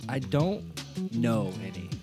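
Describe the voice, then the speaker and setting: A man's voice: medium pitch, sing-song, slow, over music. A young man in his early twenties co-hosting a sports podcast, laid-back and joking. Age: 20s. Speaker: podcast co-host. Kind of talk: casual sports podcast chat.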